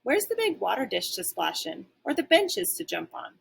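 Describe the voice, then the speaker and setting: A woman reading a children's storybook, voicing an excitable, boastful and playful pet dog with exclamatory, dramatic delivery. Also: mid-range voice, moderate pace, vocal fry, husky, exaggerated voice. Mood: puzzled, indignant. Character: excitable, cocky, playful pet dog narrating his adventures. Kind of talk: children's storybook read-aloud.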